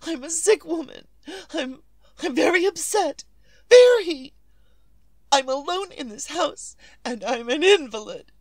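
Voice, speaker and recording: Frantic invalid wife, high swooping voice. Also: female voice, expressive, measured pace, no echo. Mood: frantic, indignant. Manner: melodramatic, fretful, demanding. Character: frantic, demanding invalid wife, nervous and imperious. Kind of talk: 1940s radio suspense acting.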